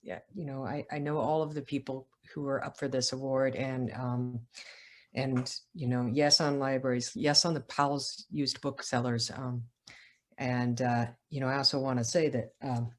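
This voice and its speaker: A woman's voice: extremely low pitch, level tone, normal speed. A woman author speaking at a literary event, with talk and reading from her own writing. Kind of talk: casual talk, then literary reading aloud.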